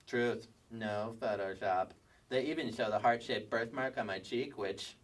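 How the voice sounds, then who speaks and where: An actor playing a dim, vain kindergarten boy with a slow, simple, deadpan manner in a staged comedy sketch reading. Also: fairly low pitch. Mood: self-satisfied. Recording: distant stage mic.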